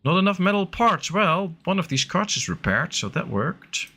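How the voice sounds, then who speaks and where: A man's voice: high-pitched, big pitch swings, normal speed, bright, forceful tone. A man who is not a native English speaker, streaming a game and chatting casually with viewers, full of fillers. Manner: chatty, answering chat with frequent fillers. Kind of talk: casual live-stream chat while playing.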